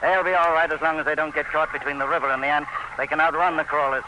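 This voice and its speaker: Man as a sardonic high-pitched plantation boss. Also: steady tone, lightly acted. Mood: cocky, commanding. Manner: brisk, cocky, commanding. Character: sardonic, supremely confident male plantation boss. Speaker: actor in a radio drama. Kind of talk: theatrical radio drama dialogue. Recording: noisy radio drama recording with ambience.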